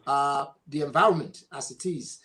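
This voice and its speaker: A man's voice: mid-range voice, normal speed, pushed and bright. A man, a clergyman, recounting his experience in a panel discussion. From Africa, Nigeria.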